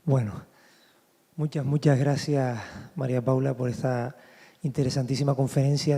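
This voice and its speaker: A man's voice: medium pitch, dark tone. A man moderating a lecture, formally thanking the speaker and opening questions to the audience. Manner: courteous, formal. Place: lecture hall. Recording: lecture hall with long reverb.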